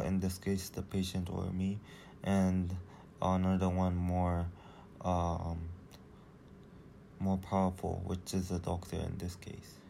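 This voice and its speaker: A teenage boy whose first language is Japanese, working through a school presentation with halting pauses and restarts. His voice sits low and dark, with little rise and fall. A faint hiss runs underneath. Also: gravelly. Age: teens. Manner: hesitant, many fillers and restarts. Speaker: student presenter.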